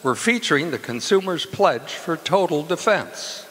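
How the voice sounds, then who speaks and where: A man playing a chummy, wisecracking announcer and eager pitchman in old-time radio comedy. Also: expressive, a little slow, fairly bright tone. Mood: friendly, eager. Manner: chummy, jocular. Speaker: show announcer. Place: live stage show in a community hall. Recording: reverberant hall stage performance.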